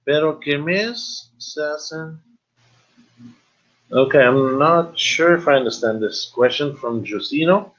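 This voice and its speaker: Halting middle-aged man, dull audio. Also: a little high, expressive, fairly bright tone. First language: not Spanish, though speaking it at times. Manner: halting, informal, switching between English and Spanish. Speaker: webinar host. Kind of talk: casual webinar talk, reading and answering viewer questions. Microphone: video call, computer microphone. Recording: slightly dull video-call audio.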